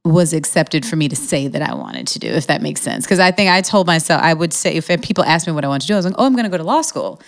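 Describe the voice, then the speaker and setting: A woman's voice: low voice, animated, fast, creaky, few pauses. A woman from Alabama chatting casually as a podcast guest, talkative and thinking aloud as she answers. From Alabama, United States. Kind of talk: casual conversational interview answers.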